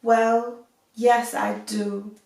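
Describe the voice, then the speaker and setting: A brave, honest young storybook heroine , read by a woman, speaks politely and earnestly to reassure. The pace is measured and slow, and the sound is roomy and set back from the microphone. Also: fairly high pitch, flat delivery, vocal fry, a little mellow, heavily acted. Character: brave, kind, honest young daughter, fairy-tale heroine. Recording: roomy echo, distant microphone.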